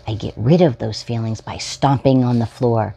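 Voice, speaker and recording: A woman's voice: very deep voice, moderate pace, vocal fry, noisy background. A woman voicing a kind, patient mother soothing a small child in a storybook. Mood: gentle, patient.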